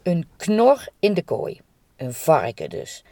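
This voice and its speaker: A woman's voice: sits low, huge pitch swings, takes it slowly, warm tone. A woman presenting and interviewing, narrating in a plain conversational way.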